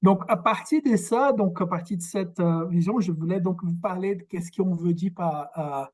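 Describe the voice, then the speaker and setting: A man from Brazil with a Québécois French accent and Portuguese as his first language, giving a workshop lecture over a video call, halting and searching for words. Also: high voice, a little mellow. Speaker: guest lecturer, researcher. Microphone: video call microphone.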